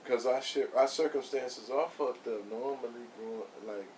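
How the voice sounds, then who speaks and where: A man talking as a guest on a casual podcast, measured and hesitant, repeating words as he works out his thought. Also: mid-range voice, darkish tone, a little hiss or hum, rough recording. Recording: voice from away from the mic.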